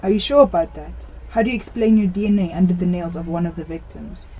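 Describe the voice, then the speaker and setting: A woman's voice: lowish voice, quick pace, mellow, close to an everyday voice, poor audio. A teenage woman playing a stern, businesslike police detective in an amateur radio play. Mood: stern, questioning.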